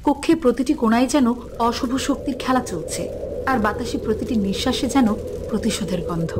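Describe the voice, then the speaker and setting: A woman's voice: fairly high pitch, brisk, background noise. A woman narrating a scripted fairy tale for a cartoon.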